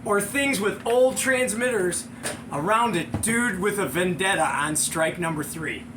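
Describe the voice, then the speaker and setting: Male American storyteller, middle-aged, high voice, chatty and excitable, noisy home-style recording. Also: unhurried. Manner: excitable, slangy, chuckling storyteller. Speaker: show host and storyteller. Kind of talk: animated casual anecdote, with acted-out sound effects.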